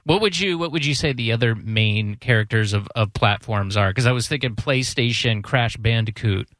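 A man's voice: medium pitch, normal speed, fairly bright tone, dry recording. Casual male radio host, dry sound. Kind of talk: casual chat, asking questions.

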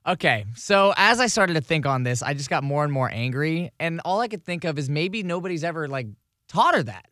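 Quick, bright-voiced man on radio. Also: on the high side, expressive, no echo, studio quality. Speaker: radio show co-host. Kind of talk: lively morning radio banter.

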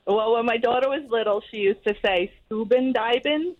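Middle-aged woman radio caller, higher voice. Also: normal speed, muffled recording. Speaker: phone-in caller, mother. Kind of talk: casual anecdote to radio hosts.

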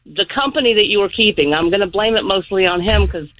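A woman's voice: mid-range voice, fast, dry recording. A middle-aged woman phoning in to a radio show, telling a personal story in a rambling, earnest way. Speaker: radio call-in guest. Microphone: telephone. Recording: muffled phone call to radio.

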